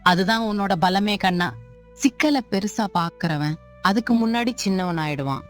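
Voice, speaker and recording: A woman voicing a calm, wise old grandmother who speaks gently in proverbs, with faint music behind. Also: mid-range voice, moderate pace, lightly acted. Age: older.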